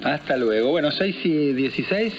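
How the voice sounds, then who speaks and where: A man's voice: on the high side, animated, normal speed, noisy background. A man with an Argentine Spanish accent hosting a morning radio show with live banter. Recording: muffled radio broadcast with background voices.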